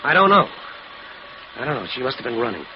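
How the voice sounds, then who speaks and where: Guy acting a nervy husband in old radio thriller, lively pitch, noisy dull recording. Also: fast talker, lightly acted. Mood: alarmed. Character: anxious husband protecting his wife, nervy but resolute. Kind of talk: 1950s radio drama acting. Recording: old muffled radio recording, heavy noise.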